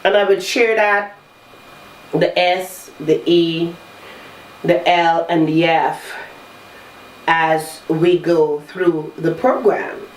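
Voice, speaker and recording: A woman hosting a talk show, congested from a cold, coaching listeners in a slow, measured way. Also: fairly low pitch, fairly even intonation. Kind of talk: reflective self-help talk. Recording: roomy echo, distant mic, faint noise.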